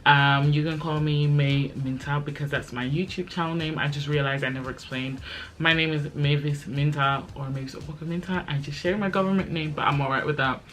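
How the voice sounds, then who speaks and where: A woman's voice: low-pitched, steady tone, a little fast, slight background noise. A woman in her twenties, raised in Belgium, chatting casually and rambling to camera with lots of fillers. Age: 20s. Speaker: YouTuber. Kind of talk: casual chit-chat vlog.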